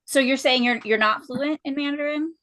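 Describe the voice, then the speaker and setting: A woman's voice: high voice, moderate pace, fairly bright tone. A woman hosting an interview, asking friendly, curious questions. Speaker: interview host. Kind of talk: casual interview chat.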